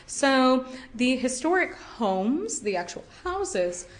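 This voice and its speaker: Young woman, lively museum guide. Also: sits high, takes it slowly, rough recording. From Cow Island, Louisiana. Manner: lively, with frequent fillers and laughs. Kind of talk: interview answers. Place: indoors at a heritage village, on location.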